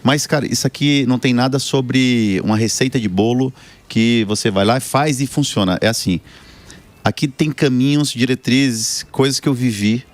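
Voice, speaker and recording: A man's voice: mid-range voice, normal speed. A man in his forties from Brazil, a guest author talking earnestly about his book and life, often ending with "né?". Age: 40s. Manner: earnest, motivational, many "né?" tags. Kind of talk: casual talk show chat.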